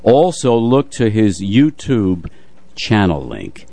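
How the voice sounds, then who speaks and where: An older man co-hosting a radio show, moving between relaxed chat and announcements. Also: on the low side, takes it slowly.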